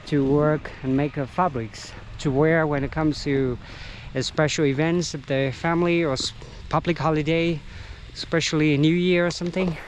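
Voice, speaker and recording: A middle-aged man whose first language is Vietnamese, working as a local guide and narrating a casual vlog outdoors in an unhurried, halting way with many fillers. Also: a little high, fairly even intonation, slight background noise. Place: outdoors on countryside trails.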